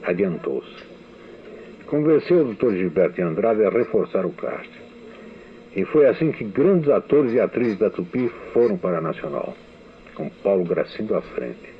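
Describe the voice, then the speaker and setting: A man from Brazil recalls his years writing radio serials, unfolding the story in a measured way with dry asides. His voice sits very low. It comes through a thin, phone-like archival radio recording. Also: big pitch swings. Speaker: radio novelist giving a testimony. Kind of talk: archival spoken memoir.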